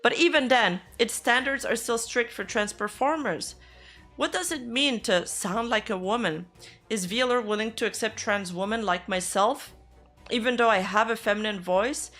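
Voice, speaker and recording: A woman's voice: fairly high pitch, moderate pace, fairly bright tone, faint music behind. A woman VTuber streamer giving casual reaction commentary, blunt and sarcastic, swearing freely.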